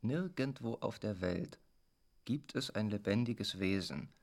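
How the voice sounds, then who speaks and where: An actor playing a solemn, pompous squat commander who makes halting speeches in a radio drama. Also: male voice, lowish voice, animated, darkish tone. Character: solemn, pompous squat commander praising his guard dogs. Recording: meeting crowd behind.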